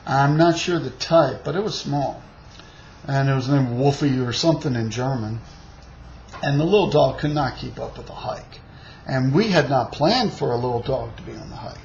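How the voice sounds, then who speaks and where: A man's voice: mid-range voice, moderate pace, creaky, a little mellow. A man from the United States telling a long, rambling travel anecdote in a casual way, full of fillers and side remarks. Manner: rambling, with many fillers and asides. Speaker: storyteller. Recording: dull lo-fi recording with faint hiss.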